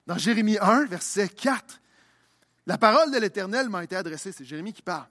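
A man's voice: sits high, lively intonation, a little fast, pushed and bright. A young man preaching a sermon as pastor, repeating phrases as his delivery builds in intensity.

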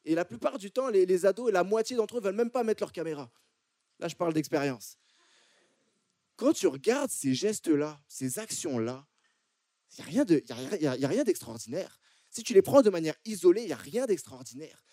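A man's voice: high-pitched, big pitch swings, fairly quick, dark tone, dry recording, clean studio sound. A man from France with a French accent from France, preaching a sermon to a congregation and often repeating and restarting his phrases. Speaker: church preacher.

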